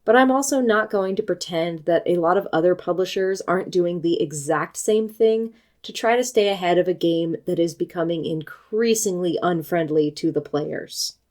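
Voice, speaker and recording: An American woman reading a scripted book review video essay in a measured, articulate and critical manner. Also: lowish voice, a little mellow. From United States.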